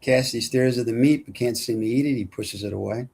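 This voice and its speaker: A man's voice: mid-range voice, fast, gravelly, a little mellow, a little hiss or hum. A man narrating stage directions at a film script table read in a plain, matter-of-fact way.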